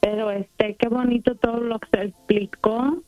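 Una mujer de México con acento mexicano llama a un programa de radio y habla de forma pausada y titubeante, muy agradecida. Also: female voice, fairly high pitch, fairly even intonation, no echo, soft background music. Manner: slow, hesitant, grateful. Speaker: radio caller. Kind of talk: phone call to a radio show. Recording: tinny phone line.

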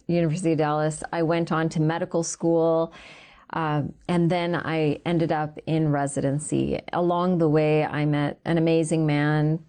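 A woman in her thirties from Canada, a guest giving an earnest faith testimony and slowing down to stress the words that matter to her. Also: sits low, dark tone.

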